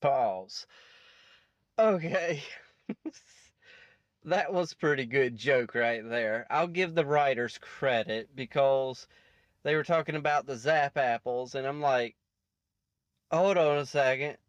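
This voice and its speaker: A man's voice: medium pitch, slow pace, long pauses, dry recording, dull audio. A man giving casual, rambling reaction commentary as host, often chuckling between asides.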